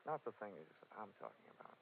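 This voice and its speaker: An actor playing a wry, flirtatious, hard-boiled insurance investigator in 1940s radio drama style. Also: male voice, on the low side, huge pitch swings, fairly quick, gravelly, soft background music, full character voice. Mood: wry, probing. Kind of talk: 1940s radio detective acting, first-person narration. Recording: scratchy, tinny 1940s radio recording.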